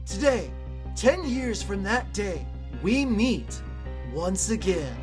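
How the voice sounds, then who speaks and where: A woman voicing an earnest, excitable young male activist in a game fan dub, theatrical and formally polite. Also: medium pitch, takes it slowly, music in the background. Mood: reverent.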